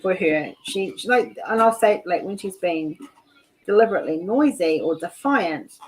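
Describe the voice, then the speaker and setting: A woman's voice: mid-range voice, animated, moderate pace, a little hiss or hum, poor audio. A woman hosting a casual livestream chat, telling stories in a loose, rambling way over a video call. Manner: chatty, storytelling, frequent "like" and restarts. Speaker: livestream host.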